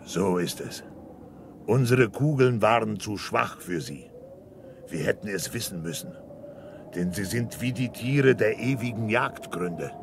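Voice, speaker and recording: An actor playing a proud, defiant, stubborn chief in a radio play, speaking solemnly and formally in a gravelly voice. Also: male voice, fairly low pitch, lively intonation, a little slow. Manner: solemn, formal, speaks of himself in third person. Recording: clear engine noise behind.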